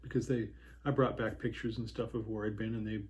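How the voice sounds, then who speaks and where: A man in his sixties from Washington State, recalling his childhood in a rambling way with many pauses and restarts. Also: lowish voice, flat delivery, a little mellow. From Washington State, USA. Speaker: interviewee, hiker. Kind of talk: casual reminiscing interview.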